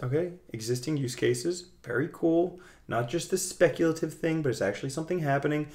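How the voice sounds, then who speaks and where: A young man hosting a casual video, talking through his screen in a chatty, jokey way with big swings in loudness. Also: on the high side, fairly quick. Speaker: crypto video host. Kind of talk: casual screen-share commentary.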